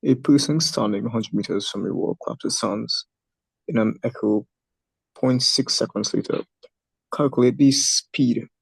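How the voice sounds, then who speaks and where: Student guy, raspy kinda husky voice, working out a physics problem out loud, dry sound. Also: mid-range voice, a little slow, no echo, low-quality recording. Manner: short answers, reasons calculations aloud. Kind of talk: answering in class.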